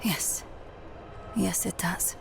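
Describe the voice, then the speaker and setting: A woman playing a weary, resolute young scholar in a dark fantasy video game, speaking in a measured, earnest and sometimes hushed way. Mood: guarded. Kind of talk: dramatic video game acting. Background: cinematic background music.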